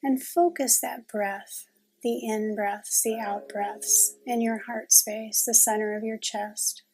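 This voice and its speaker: Very slow-paced woman guiding meditation. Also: a little high, rough recording. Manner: calm, unhurried, gentle, long pauses. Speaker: guided meditation teacher. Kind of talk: guided meditation narration.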